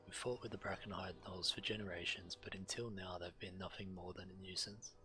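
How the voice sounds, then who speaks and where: An actor playing a grave, urgent walrus-folk investigator in a video game, solemn and full of foreboding. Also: male voice, sits low, steady tone, fairly quick, sharp tone.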